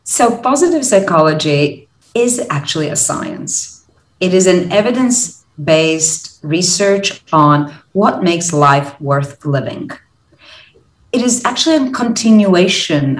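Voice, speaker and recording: Low-voiced woman from Croatia in an echoey room. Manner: earnest and thoughtful, with hesitant fillers. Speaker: guest, positive psychology lecturer and consultant. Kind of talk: explanatory podcast interview. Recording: roomy echoey sound.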